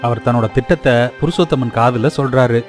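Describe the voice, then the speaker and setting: A man's voice: mid-range voice, a little fast, faint music behind. A man reading scripted narration for a children's moral story.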